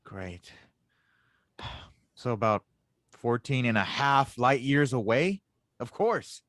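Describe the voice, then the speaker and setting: A man playing an anxious, sardonic young genius in an audio drama table read, with halting phrasing. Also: medium pitch, lively intonation, a bit bright, no echo, studio quality. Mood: dismayed. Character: anxious young male genius engineer, self-doubting and sardonic.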